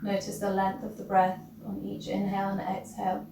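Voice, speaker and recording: A woman leading a guided breathing meditation as a teacher, gentle and instructional, leaving long pauses between short phrases. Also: medium pitch, completely flat delivery, creaky. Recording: roomy, distant mic with loud background noise.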